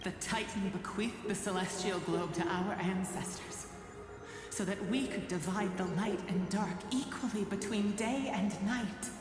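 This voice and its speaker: A woman acts out a proud woman of an oppressed sky people in a fantasy game. Her delivery is slow, formal and declamatory. Heavy echo surrounds her, with music clearly underneath. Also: mid-range voice, close to an everyday voice. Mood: indignant, defiant. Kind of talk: solemn theatrical game dialogue. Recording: cavernous reverb, music underneath.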